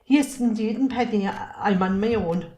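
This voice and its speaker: A woman's voice: medium pitch, normal speed, mellow, lightly acted. Woman acting resentful Irish farmer's wife. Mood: weary, resentful. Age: middle-aged. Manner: reproachful, pointed. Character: wronged, resentful Irish farmer's wife, standing her ground. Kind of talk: amateur stage-play acting.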